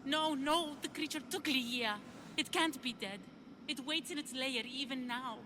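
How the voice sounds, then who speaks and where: A woman's voice: high voice, moderate pace, noisy background, lightly acted. An actress playing a grieving young woman longing for her lost lover in a video game cutscene, speaking in an earnest, heartfelt way. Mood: anxious.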